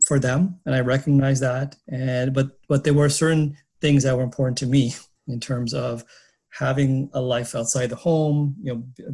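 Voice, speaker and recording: A middle-aged man who grew up in Canada, answering interview questions thoughtfully with frequent pauses and restarts. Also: medium pitch, husky. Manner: hesitant, many fillers and restarts, laughs softly. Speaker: interview guest.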